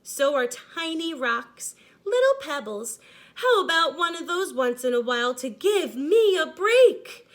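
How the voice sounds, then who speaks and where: High-voiced, exasperated gray crayon, played by a woman, storybook read-aloud, tired and emphatically complaining. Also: very animated, a little slow, a bit bright, exaggerated voice. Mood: exasperated, weary.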